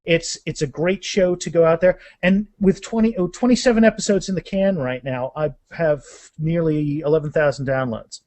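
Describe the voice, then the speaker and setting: A man with a fairly high-pitched voice talks in an enthusiastic, chatty way. He sounds like an author being interviewed on a podcast, casual and conversational. The sound is dry, with little room around it. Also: normal speed, few pauses, darkish tone, no room sound.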